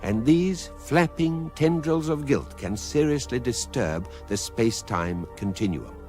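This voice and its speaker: Deadpan british sci-fi radio narrator, lowish voice, lilting delivery, faint music under it. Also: male voice. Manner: dry, deadpan, mock-scholarly. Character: calm, dry male narrator of an electronic galactic guidebook. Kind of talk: scripted radio drama narration.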